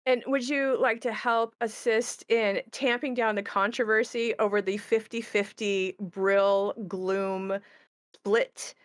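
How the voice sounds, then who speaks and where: A woman's voice: medium pitch, fairly even intonation, slow, no room sound. Woman in casual discussion, close dry sound. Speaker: game creator in conversation. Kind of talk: casual discussion of game design.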